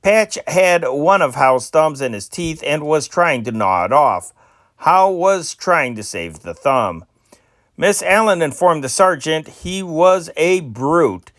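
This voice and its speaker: A man's voice: on the high side, animated, slow, fairly bright tone, no echo, studio quality. Amateur man reading old newspaper stories. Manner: amateur reading with stumbles, repeats and self-corrections. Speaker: news reader.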